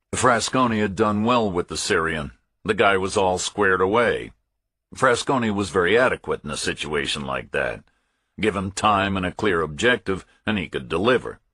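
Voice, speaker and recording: A man reading a first-person thriller audiobook as narrator, measured, restrained and matter-of-fact. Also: low-pitched, sharp tone, slightly rough, dry recording.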